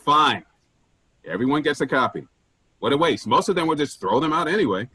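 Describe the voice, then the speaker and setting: A man plays a callous, impatient boss in a dark stage comedy. His husky, fairly high voice swings widely as he lectures, blunt and full of himself, in a dry close sound. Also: lively intonation, a little fast, no room sound, full character voice, low-quality recording. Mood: callous, flippant. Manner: blunt, self-important, lecturing. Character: pushy, penny-pinching male office boss, callous and impatient.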